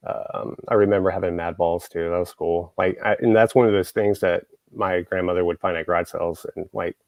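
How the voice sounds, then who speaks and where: A man's voice: deep voice, steady tone, fairly quick, no room sound. A man from Texas, hosting a show and chatting casually, rambling with lots of fillers and restarts. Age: adult, grew up in the 1980s. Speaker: show host. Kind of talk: casual podcast chat.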